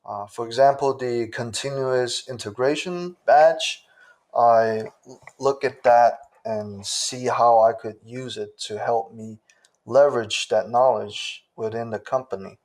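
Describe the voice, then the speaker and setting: A man from southern China answering interview questions in a measured way, pausing often with "uh". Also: mid-range voice, darkish tone. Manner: slow, measured, with frequent "uh" pauses. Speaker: interview guest.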